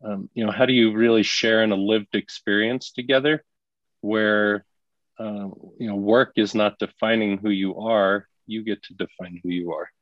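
A man, a consultant guest in an interview, speaking thoughtfully and haltingly with many fillers. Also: fairly low pitch, fairly even intonation, no room sound, muffled recording. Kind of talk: reflective interview talk.

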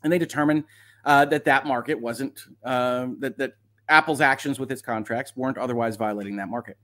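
A man's voice: medium pitch, brisk, fairly bright tone. A man hosting a livestream of legal commentary, talking casually and reading aloud with wry, sardonic asides.

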